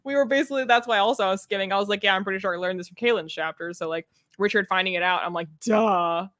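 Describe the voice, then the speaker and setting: A woman's voice: medium pitch, fast talker, fairly bright tone, no room sound. Young woman, close dry casual chat. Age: young adult. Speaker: book livestream co-host. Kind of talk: casual book discussion. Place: room at home, indoors.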